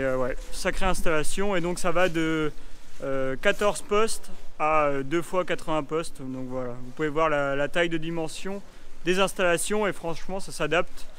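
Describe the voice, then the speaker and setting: A man's voice: on the high side, fairly bright tone, slight background noise. Young man vlogging on a farm. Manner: chatty, enthusiastic, frequent fillers. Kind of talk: casual vlog walkthrough. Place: large barn and milking parlour, indoors. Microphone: action camera held at arm's length.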